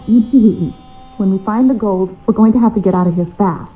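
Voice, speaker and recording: An actress playing a tense, conspiratorial, controlling treasure-hunting schemer in a video game, heard through a narrow filter. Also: female voice, medium pitch, quick pace, slight background noise. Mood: secretive, reassuring. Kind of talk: video game dialogue acting. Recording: thin phone-like narrow band.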